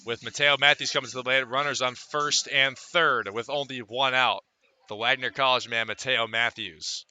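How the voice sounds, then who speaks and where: A man's voice: mid-range voice, fairly even intonation, normal speed, sharp tone. A man calling a baseball game as a play-by-play announcer in a live radio commentary style.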